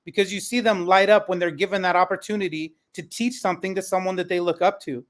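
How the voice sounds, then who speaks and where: A man's voice: high voice, steady tone, quick pace. A man speaking as an educator and podcast host, moderating a panel in a conversational, effusive and encouraging manner.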